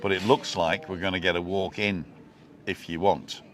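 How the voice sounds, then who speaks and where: A man's voice: deep voice, level tone, normal speed, a little hiss or hum. A man in his sixties hosting a vlog, chatting casually and teasing with dry jokes. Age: 60s. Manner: joking, teasing storyteller. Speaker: vlog host. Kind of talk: casual chat.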